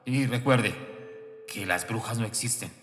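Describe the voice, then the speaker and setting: A man's voice: medium pitch, measured pace, a bit bright. A man presenting a radio fiction show with a theatrical, scripted horror-show delivery. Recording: echoey hall reverb, prominent music. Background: music.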